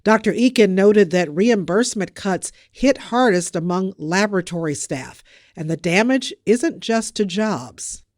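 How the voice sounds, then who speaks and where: Podcast news presenter, medium-pitched, scripted delivery, very dry close recording. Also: lively intonation, measured pace, a little mellow, dry recording.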